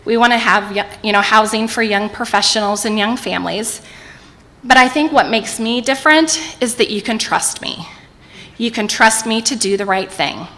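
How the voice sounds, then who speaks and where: Woman, 40s, from Indiana, candidate, bright emphatic delivery, reverberant hall. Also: fairly high pitch, moderate pace. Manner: personal, emphatic, repeating for effect. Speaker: mayoral candidate. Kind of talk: opening statement at a public forum.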